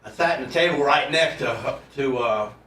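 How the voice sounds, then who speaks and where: A man's voice: mid-range voice, normal speed, sharp tone. A man chatting in casual radio banter, heard off-mic from a distance in an echoey room.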